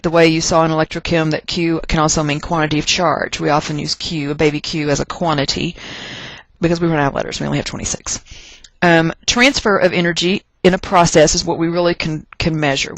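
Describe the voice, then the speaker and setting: Casting: American science teacher from Texas, medium pitch, folksy and emphatic lecturer, dry recording. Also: a bit bright, no room sound, poor audio. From Texas, United States. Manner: emphatic, deliberate stress and repetition of key points; folksy, joking asides. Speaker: chemistry teacher. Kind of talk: lecture narrated over class notes.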